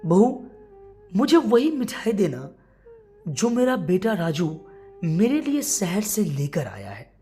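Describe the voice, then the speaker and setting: A woman narrator speaks as an old father-in-law in a moral story, trusting and puzzled. The questioning delivery is slow and very inflected, with faint music behind. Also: medium pitch, very animated, dark tone. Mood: requesting.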